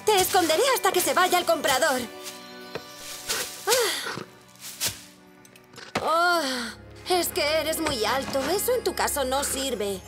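A determined, headstrong young girl from a children's cartoon, speaking Castilian Spanish. Her voice is high and swings widely in pitch, with an earnest, expressive delivery. Loud music plays behind her. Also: slow pace, long pauses. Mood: troubled, determined. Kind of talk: animated children's cartoon dubbing.

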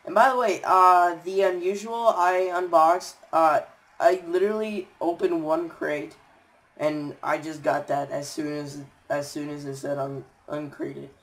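A young man with a raspy voice and a stuffy nose, rambling tiredly with many fillers as he comments on his gameplay. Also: fairly high pitch, steady tone, unhurried, fairly bright tone, poor audio. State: stuffy nose, sore throat.

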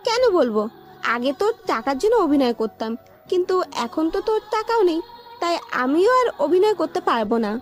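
A very high, animated female voice a woman acting plays a money-minded young girlfriend. It is melodramatic love-story audio with music behind the dialogue. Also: normal speed. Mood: cold, scornful. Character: scornful, money-hungry young girlfriend, later begging forgiveness.

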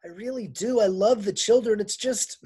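A whiny, weary schoolteacher woman in her later years, pitched high and pushed loud with self-pity, voiced by a man. She sounds near tears and plaintive. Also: animated, a little slow, slightly rough, heavily acted. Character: weary put-upon older woman teacher.

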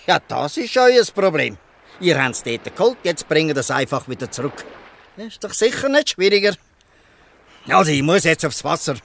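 An old fisherman with a Swiss German accent, in a bright, forceful voice pitched a little high. He is gruff and scolding but good-hearted, in the manner of a dialect radio play. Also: very animated, normal speed, heavily acted. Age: older.

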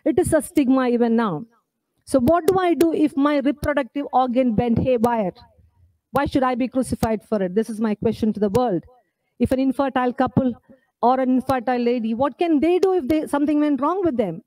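A woman's voice: sits high, brisk, warm tone, no echo, low-quality recording. A woman in her forties from India gives an earnest, emphatic speech at a podium microphone on an indoor stage, asking the audience rhetorical questions. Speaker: author at her book launch.

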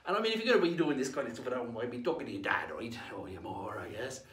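A man's voice: medium pitch, lively intonation, rapid-fire, fairly bright tone, natural voice. A man playing a jolly, boastful old pirate storyteller, rambling along in a folksy, theatrical way as he hosts a playful lesson. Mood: chatty, instructive. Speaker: host of pirate-themed lessons. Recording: roomy echo.

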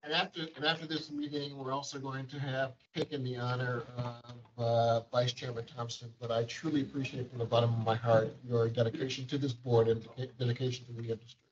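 A man's voice: mid-range voice, little pitch movement, a little fast, hardly any pauses, noisy background. Male administrator reading monthly figures to a board; bureaucratic, filler-heavy delivery, dull meeting-room recording. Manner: wordy, bureaucratic phrasing with frequent fillers. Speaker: agency administrator. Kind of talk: formal board meeting report. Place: large conference room.

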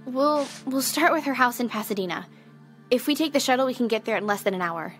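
A woman's voice: on the high side, expressive, fairly quick, soft background music, lightly acted. A woman in an audio drama playing a proud, headstrong spaceship crew leader with an insistent, commanding manner. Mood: firm, impatient.

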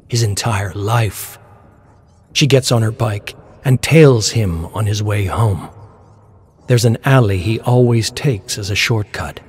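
Male narrator, lowish pitch, very unhurried, dramatic scripted storytelling, deliberate pauses. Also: a little mellow. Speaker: narrator reading game lore.

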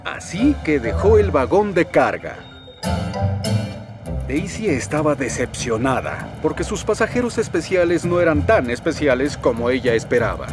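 Expressive male storybook narrator. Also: fairly high pitch, normal speed, music in the background.

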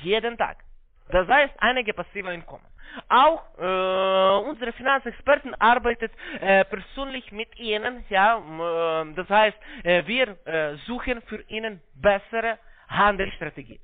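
High-pitched foreign-accented man on the phone. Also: expressive, dry recording. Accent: foreign, non-native German. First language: not German. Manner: halting, repetitive, polite broken German. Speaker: call center investment salesman on a scam call. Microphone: phone call. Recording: narrow-band phone line sound.

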